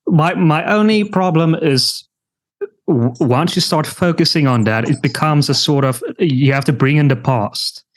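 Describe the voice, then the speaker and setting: A man hosting an interview, pushing back conversationally and thinking strategically out loud. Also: on the high side, a little slow. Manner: conversational, persuasive, thinking aloud. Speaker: podcast host. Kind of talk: interview discussion.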